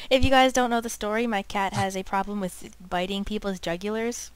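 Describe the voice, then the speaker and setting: A woman's voice: mid-range voice, fairly even intonation, moderate pace, clean tone, no room sound. A woman chatting casually while playing a game, giggly and blunt, swearing when things go wrong, on a headset mic. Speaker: let's play co-host. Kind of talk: casual gaming chat.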